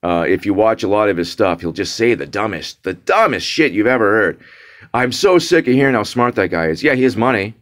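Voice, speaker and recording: A man's voice: lowish voice, lively intonation, fairly quick. A young man ranting casually to his viewers, exasperated and mocking, with many restarts and repeated words for emphasis. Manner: frequent restarts and fillers. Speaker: vlogger. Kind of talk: casual rant to camera.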